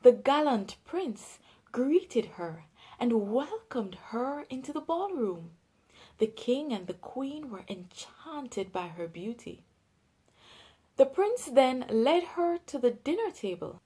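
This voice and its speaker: A woman with a Jamaican accent narrating a children's storybook in an expressive, deliberate way. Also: high voice, slightly rough. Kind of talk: scripted storybook read-aloud for young children.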